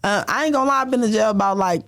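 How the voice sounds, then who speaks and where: A woman's voice: medium pitch, very fast. A young adult woman rapper talking candidly in a casual interview, using laid-back street slang. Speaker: rapper, guest.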